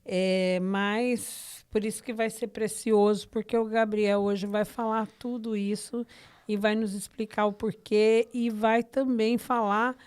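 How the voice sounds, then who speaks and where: A woman's voice: mid-range voice, level tone, takes it slowly, a little mellow, clean tone. A woman with a Brazilian accent hosting a podcast from her living room, talking conversationally with devout enthusiasm and frequent tag questions. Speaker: podcast host. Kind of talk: conversational podcast introduction of a guest.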